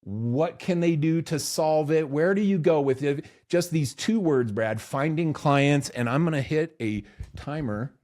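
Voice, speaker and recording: A man's voice: on the high side, a little slow, a little mellow. A man co-hosting a podcast in a studio, chatting casually and joking, often laughing. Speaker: podcast co-host. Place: recording studio.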